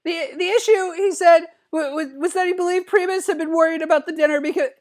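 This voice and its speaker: A man's voice: very high-pitched, steady tone, fast. A man voicing a nervous, timid adolescent cartoon boy, stuttering through narration in a comedic dramatic reading. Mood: flustered, earnest. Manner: anxious stuttering, repeated word starts.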